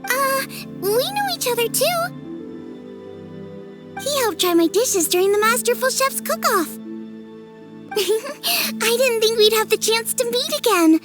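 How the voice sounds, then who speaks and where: A woman's voice: very high-pitched, sing-song, slow, pauses often, over music, heavily acted. A woman voicing a bubbly, friendly teenage girl chef in a game dub. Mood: cheerful. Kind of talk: game cutscene dub acting.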